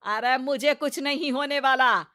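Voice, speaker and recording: Woman playing a brash, bright-voiced stubborn mother-in-law. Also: high-pitched, measured pace, no room sound, studio quality. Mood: defiant, scolding. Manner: brash, scolding, dismissive retorts. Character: stubborn, hot-tempered village mother-in-law, middle-aged or older. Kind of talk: comic Hindi cartoon story acting.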